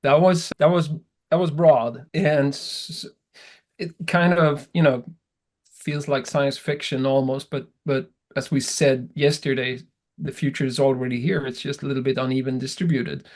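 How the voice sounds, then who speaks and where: A man hosting a webinar panel, eager and reacting with excitement. He often stumbles over and repeats his first words before he opens the floor to the others. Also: mid-range voice, moderate pace. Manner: enthusiastic, with repeated word restarts. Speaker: webinar moderator. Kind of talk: conversational webinar moderation.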